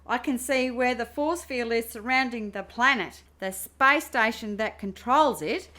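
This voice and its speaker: A woman acts as a keen female navigator in a science fiction radio play. Her voice is high-pitched with a bright, forward tone. She gives crew reports. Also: unhurried. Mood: alert. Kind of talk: amateur radio play acting.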